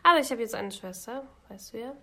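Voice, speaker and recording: A woman's voice: a little high, expressive, fast talker, sharp tone. A young woman with a cold, co-hosting a podcast and chatting at length with many restarts. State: has a cold. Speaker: podcast co-host. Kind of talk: casual chat.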